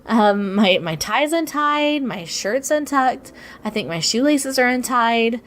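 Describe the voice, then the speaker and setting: Young woman, raspy and sniffly with a cold. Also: fairly high pitch, lively intonation, slow, fairly bright tone, slight background noise. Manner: rambling, self-deprecating, lots of ums and restarts. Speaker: artist narrating her speedpaint. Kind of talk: casual voiceover chat.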